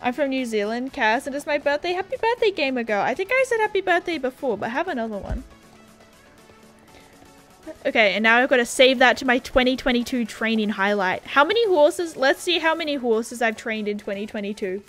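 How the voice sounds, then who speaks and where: Young woman, game streamer on a headset, excitable and chatty, a touch higher pitched, soft game music behind. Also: a little fast. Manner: chatty, reads viewer messages aloud, excitable. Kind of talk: casual livestream chat. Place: home room at a gaming setup.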